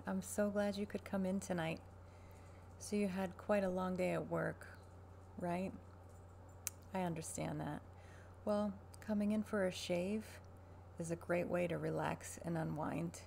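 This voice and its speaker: A woman in her thirties speaking softly, quietly and soothingly in a creaky voice, as a barber in an ASMR personal-attention roleplay. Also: on the low side, steady tone, measured pace. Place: small furnished room at home, indoors. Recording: noisy webcam-style home recording.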